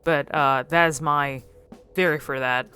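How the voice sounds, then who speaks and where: A woman giving casual, musing commentary on a game, often pausing and repeating words as she thinks. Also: low-pitched, fairly even intonation, sharp tone, faint music behind. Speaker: video creator commentating on a game.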